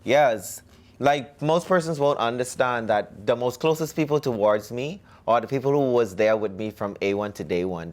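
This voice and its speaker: A young man from the Bahamas, a musician answering interview questions in a cocky, self-promoting way. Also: medium pitch.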